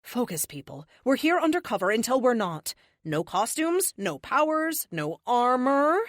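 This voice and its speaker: A woman's voice: fairly high pitch, sing-song, measured pace, dry recording, clean studio sound, voice pushed up. A woman voicing a stern, commanding young superheroine who speaks in firm, short phrases, in an audiobook. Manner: firm, clipped orders.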